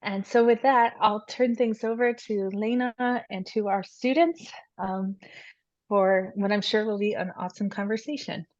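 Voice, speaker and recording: A woman introduces a speaker at a college event. The recording sounds dull and lo-fi. Her voice sits at a middle pitch. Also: normal speed, no echo. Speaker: event host.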